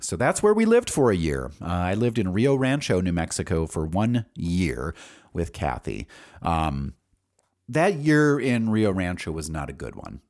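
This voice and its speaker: An American man with a low-pitched voice tells autobiographical stories as a podcast host. His delivery is casual and candid, wandering as he thinks aloud, with loose, profane phrasing. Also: very animated, a little slow, a little mellow.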